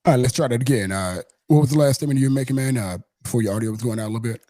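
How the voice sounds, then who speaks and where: A man's voice: mid-range voice, rapid-fire, warm tone, dry recording, studio quality. A man from Mississippi with a Southern US accent, hosting a casual sports interview in a wordy way that circles back over phrases. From Mississippi, United States. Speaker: podcast host.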